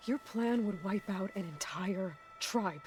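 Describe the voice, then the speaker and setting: A woman's voice: mid-range voice, slow pace, a little mellow, natural voice. An actress playing an earnest, determined young woman hero in a video game, speaking in an intense, direct way. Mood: hushed, resolute. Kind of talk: dramatic video game dialogue. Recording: background music under the voice.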